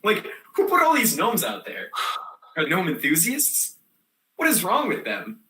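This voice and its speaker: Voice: mid-range voice, huge pitch swings, unhurried, bright, forceful tone, exaggerated voice. An actor playing a scatterbrained, defiant theater student telling a comic monologue in slangy, rambling style, given to sudden outbursts. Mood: deadpan, rambling. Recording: echoey room.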